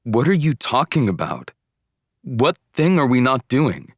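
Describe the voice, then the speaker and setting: A man's voice: fairly low pitch, big pitch swings, normal speed, fairly bright tone, no echo, studio quality. A man voicing a hurt, indignant teenage boy arguing in an audiobook story. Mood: indignant, upset.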